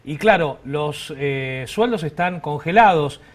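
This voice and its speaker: Un hombre con acento argentino que entrevista en vivo como co-conductor, con preguntas coloquiales y críticas. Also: male voice, on the high side, animated, takes it slowly. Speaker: TV co-host interviewer. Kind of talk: live interview questioning.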